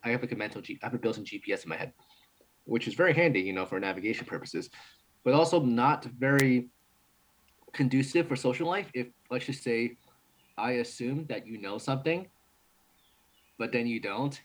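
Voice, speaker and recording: Fast-talking young man from New York. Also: mid-range voice, expressive, a little hiss or hum, rough recording. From New York City. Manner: fast, run-on talk with restarts and laughs, blunt swearing. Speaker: interview guest, martial arts instructor. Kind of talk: casual interview.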